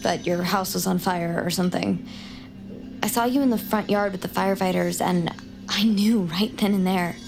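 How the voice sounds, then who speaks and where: Woman acting as a glib intense young woman in an audio drama, mid pitched, pushy and awkward, music behind. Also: fairly even intonation, moderate pace, vocal fry, lightly acted. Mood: defensive, earnest. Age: young adult. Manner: glib, insistent, socially blunt.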